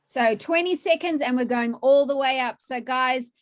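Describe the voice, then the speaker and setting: A woman's voice: high-pitched, moderate pace. A woman, hosting a virtual cooking class from her home kitchen, chatty and upbeat as she questions a child.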